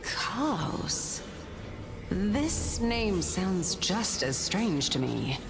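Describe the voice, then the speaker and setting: An actress playing a composed, curious, gently teasing female spirit companion in a video game, in a measured, theatrical manner. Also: medium pitch, sing-song, music in the background, heavily acted. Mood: puzzled.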